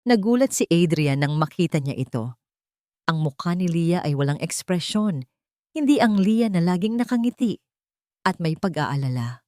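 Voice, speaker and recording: A woman tells a scripted drama in a fairly low voice. Her delivery moves a lot in pitch. The sound is dry, with hardly any room. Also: animated, normal speed, warm tone, dry recording. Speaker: storyteller. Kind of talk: dramatic scripted story narration.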